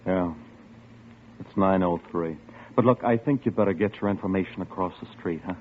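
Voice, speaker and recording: Actor as low-voiced, furtive elevator boy. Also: natural voice. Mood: furtive, hesitant. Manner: halting, furtive. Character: hesitant, sly, bribable young male elevator operator. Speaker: elevator operator. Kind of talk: 1940s-50s radio drama acting. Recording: muffled, noisy vintage radio recording.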